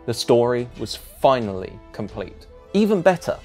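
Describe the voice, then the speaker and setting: A man's voice: mid-range voice, big pitch swings, normal speed, a little mellow, faint music behind. A man reading scripted narration as a video essayist, with a wry, emphatic delivery.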